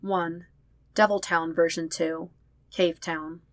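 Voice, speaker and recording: A woman's voice: lowish voice, fairly even intonation, slow, dry recording. A woman reading fan fiction aloud as a scripted story narration. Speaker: podfic narrator.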